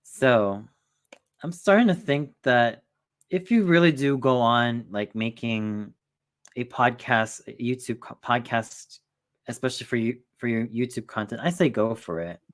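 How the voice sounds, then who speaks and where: A man's voice: mid-range voice, a little slow. A man in his twenties hosting a casual stream chat, rambling with lots of fillers. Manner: rambling, filler-heavy, restarts often. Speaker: streamer host.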